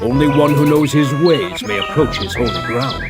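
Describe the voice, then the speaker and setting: An actor playing a stern, solemn Aztec warrior priest in a video game, speaking in formal declamation. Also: male voice, mid-range voice, moderate pace, over loud music, natural voice. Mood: solemn, commanding.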